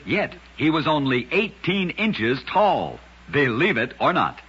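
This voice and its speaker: A man narrating scripted oddities as an old-time radio host, with a dramatic, emphatic delivery and deliberate pauses. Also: a little high, sing-song, a bit bright, slightly rough. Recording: dull, lo-fi old radio recording.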